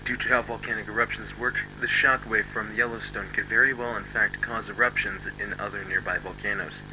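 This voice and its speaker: Man playing a low, grave emergency announcer, noisy broadcast. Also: lightly acted. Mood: grave, ominous. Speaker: emergency alert narrator. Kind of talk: scripted analog horror emergency broadcast.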